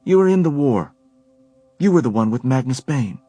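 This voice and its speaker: A man voicing a sharp, suspicious young woman who speaks in a cool, probing way. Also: mid-range voice, expressive, moderate pace, slightly rough, no echo, faint music behind, voice pushed up.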